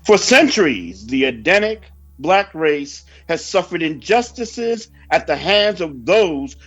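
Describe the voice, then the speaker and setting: A man's voice: a little high, very animated, slow pace, fairly bright tone, muffled recording, faint music behind. A man reading a scripted sermon in a solemn, reverent, formal oratorical manner. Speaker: preacher reading a prophecy report.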